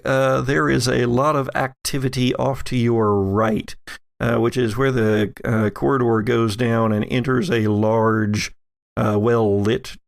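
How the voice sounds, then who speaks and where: Creaky-voiced man running a game. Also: medium pitch. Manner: conversational, improvised scene description. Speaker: game master. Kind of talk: narrating a tabletop roleplaying game.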